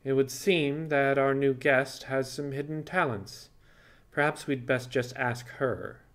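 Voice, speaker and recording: A man playing a kindly starship captain in audio fiction, measured and thoughtful with gentle irony. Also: mid-range voice, pitched above the performer's own voice, close to an everyday voice. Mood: wry, probing. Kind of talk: audio fiction character dialogue.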